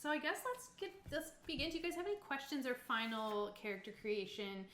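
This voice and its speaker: A woman's voice: high voice, animated, a little fast, fairly bright tone, a little hiss or hum. A woman from Cape Breton Island in Canada, narrating a tabletop game in an informal, playful, conversational way. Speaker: game master of a tabletop roleplaying stream. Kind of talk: casual game narration and chat. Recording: echoey room.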